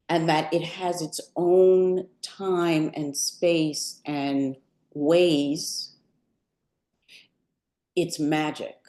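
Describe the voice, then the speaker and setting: An older woman talking reflectively about creative work, measured and thoughtful, with deliberate pauses in mid-sentence. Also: deep voice, a little mellow. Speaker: poet and artist being interviewed. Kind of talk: reflective talk about own creative work at a book event. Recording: roomy, echoing live event sound.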